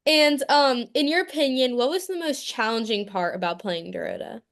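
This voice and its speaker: A woman's voice: fairly high pitch, lively intonation, normal speed, a bit bright. A woman hosting an interview podcast who asks casual questions and backs her guest with frequent agreement and laughter. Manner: friendly, backchannels and laughs a lot. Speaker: podcast host.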